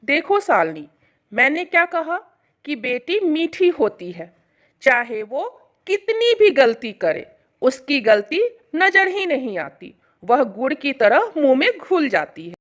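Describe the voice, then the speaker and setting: A woman's voice: sits high, very animated, slow, fairly bright tone, heavily acted. A woman voicing an affectionate older mother-in-law in a family story, explaining things patiently and reassuringly.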